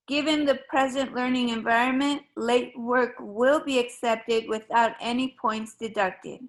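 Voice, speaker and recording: Spanish teacher lady from ecuador, slow and careful, kinda high voice, lots of ums. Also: rough recording. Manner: slow, measured and formal, with frequent ums. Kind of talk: recorded open house presentation.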